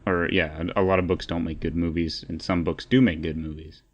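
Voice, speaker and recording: A man's voice: low-pitched, little pitch movement, fairly quick, highs cut off. A man co-hosting a podcast in casual, opinionated discussion, rambling and repeating phrases as he thinks aloud. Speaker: podcast co-host.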